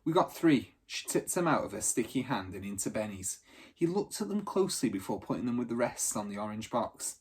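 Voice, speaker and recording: A man reading a children's story aloud in an expressive, dramatic narrating style. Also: lowish voice, fairly quick, breathy edge.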